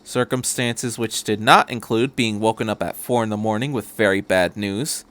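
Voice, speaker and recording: A man's voice: on the low side, steady tone, moderate pace, a bit bright. A man reading a story aloud as a podcast narrator, in scripted third-person prose.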